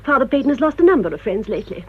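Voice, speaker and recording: An actress playing a sour, haughty older English village spinster in a radio drama, with pointed, formal politeness. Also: female voice, sits high, very animated, very fast. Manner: clipped, pointed, formal politeness with barbed digs. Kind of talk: British radio drama acting. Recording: dull, noisy old radio drama recording.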